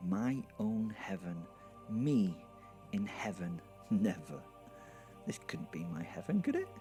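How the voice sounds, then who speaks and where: A man's voice: lowish voice, sing-song, takes it slowly, warm tone, faint music behind. A man playing an irreverent, foul-mouthed werewolf who narrates an audio drama with hesitant, rambling, wry asides. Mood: sardonic. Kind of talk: first-person horror comedy audio drama narration.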